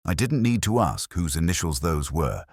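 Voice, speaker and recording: Male narrator for detective fiction: extremely low voice, lively intonation, dry close sound, brooding and measured. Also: dry recording. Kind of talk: first-person scripted detective story narration.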